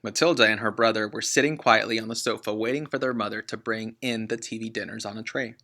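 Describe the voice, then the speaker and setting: A man reading a children's book aloud like a teacher, expressively and with deliberate emphasis on key words. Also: lowish voice, steady tone, bright, forceful tone.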